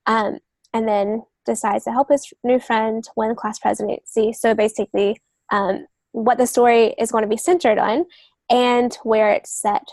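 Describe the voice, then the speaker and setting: A woman's voice: on the high side, flat delivery, normal speed, darkish tone, no echo. A woman guest on a podcast, explaining writing craft casually, step by step. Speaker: podcast guest, novelist and literary agent.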